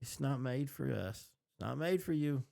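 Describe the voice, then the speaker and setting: A man's voice: medium pitch, animated, moderate pace, vocal fry, warm tone. A man co-hosting a podcast, chatting casually with a wry, joking manner. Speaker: podcast co-host. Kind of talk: casual chat.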